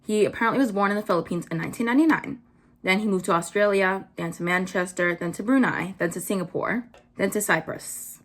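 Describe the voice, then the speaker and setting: Blunt young woman vlogger. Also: quick pace. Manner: blunt, swears, many "like" fillers; sudden jumps up in pitch when worked up. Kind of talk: casual drama commentary.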